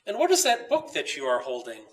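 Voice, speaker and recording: A man's voice: fairly high pitch, huge pitch swings, brisk, a bit bright, far from the mic. A man voicing a curious, eager young boy in a storybook, earnest and full of questions. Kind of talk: storybook character voice.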